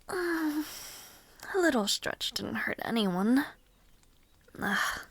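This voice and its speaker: A young woman voicing a spirited young noblewoman mage, giggly and formally spoken, with soft whispered asides, for an audio roleplay. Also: sits high, big pitch swings, very slow, no echo, exaggerated voice. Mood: musing.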